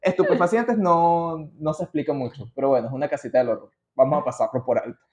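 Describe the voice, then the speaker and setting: Higher-voiced Venezuelan man, muffled roomy sound. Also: fast talker, a little mellow. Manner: informal, slangy, digressive. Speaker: podcast host. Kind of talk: casual podcast storytelling from notes. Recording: muffled, roomy podcast recording.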